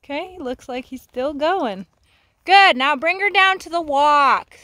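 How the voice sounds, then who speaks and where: Woman, riding instructor, high bright voice, slow and upbeat, outdoors. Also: lively intonation, no echo. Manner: upbeat, teasing, praising. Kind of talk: coaching a horse rider.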